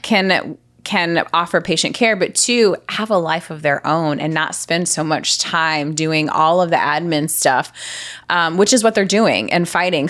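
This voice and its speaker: A woman answering interview questions as a healthcare director, earnest and impassioned, stacking repeated phrases. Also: low-pitched. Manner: speaks forcefully, says she has a loud voice. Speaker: healthcare revenue cycle director, guest.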